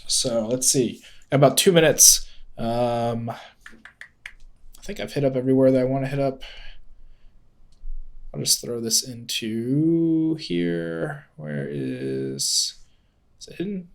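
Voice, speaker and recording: A man's voice: medium pitch, expressive, slow, vocal fry, pauses often. A man with a congested, nasal head-cold voice, casually hosting a live-stream tutorial and greeting chat viewers with plenty of ums. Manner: loose and chatty, with frequent ums and self-corrections.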